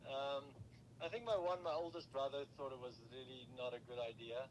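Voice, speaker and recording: A man from South Africa with a South African accent and Afrikaans as his first language, answering interview questions thoughtfully with many pauses and fillers. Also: mid-range voice, steady tone, a little hiss or hum, poor audio.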